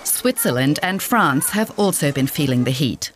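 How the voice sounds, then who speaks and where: A woman with a very low-pitched voice narrates a scripted news report. There is faint noise and ambience behind her. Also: lively intonation, moderate pace.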